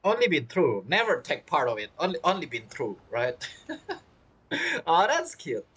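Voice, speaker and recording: Playful male streamer with animated pitch. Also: normal speed, breathy edge. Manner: playful, giggly, reactive. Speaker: game streamer. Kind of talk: casual live gameplay commentary. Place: indoors at a streaming setup.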